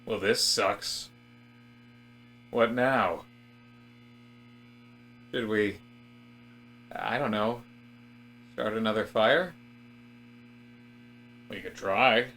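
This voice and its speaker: A man playing a disaffected, rebellious office worker in a comic audio drama, with a halting, slangy, rambling delivery. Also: fairly low pitch, bright, forceful tone, a little hiss or hum, pitched below the performer's own voice, full character voice. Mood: glum, musing.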